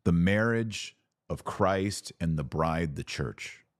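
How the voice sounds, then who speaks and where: A man with a low voice delivers opinionated religious commentary as a podcast host. He teaches emphatically, posing rhetorical questions and sometimes reading aloud. The sound is dry, with very little room around the voice. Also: expressive, slow pace, no echo. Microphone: close mic at a webcam setup.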